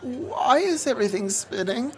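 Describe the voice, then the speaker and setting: A man playing a shy, awkward, quiet man who is tipsy and speaks haltingly, in a fantasy audio drama. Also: very high voice, expressive. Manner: halting, stammering.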